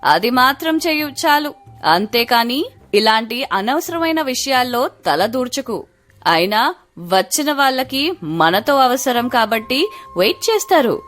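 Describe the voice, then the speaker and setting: Woman acting a high-voiced haughty rich young wife. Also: sing-song, unhurried, a bit bright, faint music behind, exaggerated voice. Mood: haughty, dismissive. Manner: snobbish, dismissive. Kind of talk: scripted moral story dialogue.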